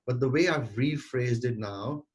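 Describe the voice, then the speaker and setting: A man's voice: mid-range voice, unhurried, husky. A man in his forties, a guest entrepreneur answering interview questions earnestly over a remote call, often repeating and restarting words. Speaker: guest entrepreneur and sales coach.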